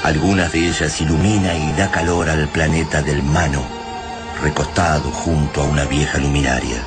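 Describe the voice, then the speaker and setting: A man with an Argentine accent giving measured, literary narration for a radio drama. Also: very deep voice, fairly even intonation, music in the background.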